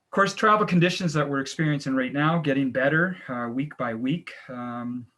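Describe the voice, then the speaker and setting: A middle-aged man from Canada hosts an online travel webinar over a video call. He speaks in an average-pitched voice, pausing often with fillers and restarting his phrases. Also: pushed and bright. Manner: halting, many fillers and restarts. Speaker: travel agency owner and webinar host. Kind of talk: online presentation, casual.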